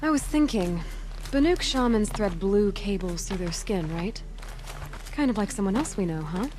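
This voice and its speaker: An actress playing a young, independent huntress heroine in a video game, dry, teasing and understated. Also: female voice, medium pitch, lively intonation, normal speed, darkish tone, a little hiss or hum, natural voice. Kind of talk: dramatic game dialogue. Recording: clear music behind. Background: music.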